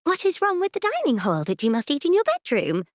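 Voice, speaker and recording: Female narrator as young maidservant in period romance audiobook, high-voiced, naive busybody, earnest, close dry sound. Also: huge pitch swings, brisk, no echo. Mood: incredulous. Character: anxious young lady's maid, naive busybody, deferential. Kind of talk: audiobook character acting.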